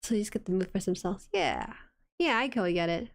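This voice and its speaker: A woman with a soft voice chatting casually and reacting excitably as she hosts an anime watchalong stream. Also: medium pitch, fast talker, gravelly.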